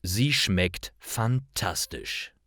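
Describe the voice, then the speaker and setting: Guy narrating little stories for learners, real slow, pitch goes up and down a lot, dry close sound. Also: animated, a bit bright, no echo. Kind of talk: scripted narration, slow storytelling for language learners.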